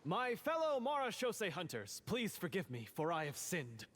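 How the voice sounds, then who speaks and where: A man's voice: sits high, huge pitch swings, normal speed, a bit bright, slight background noise, exaggerated voice. An actor playing a guilt-ridden young swordsman in a video game, earnest, hesitant and melodramatic. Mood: theatrical confession. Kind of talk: dramatic video game voice acting. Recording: background music under dialogue.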